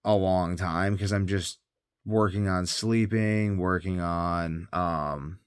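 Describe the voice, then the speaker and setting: A man's voice: sits low, fairly even intonation, slow pace, clear, resonant tone, no echo, clean studio sound. A tired man talking in a casual, rambling monologue as a health vlogger, hesitant and thinking aloud, often trailing off.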